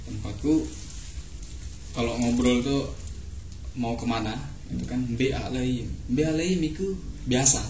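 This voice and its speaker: A man's voice: medium pitch, measured pace, pauses often, a little mellow. Young Lombok man, echoey noisy room. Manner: explaining patiently with repeated examples. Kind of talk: casual chat. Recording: echoey room with audible noise.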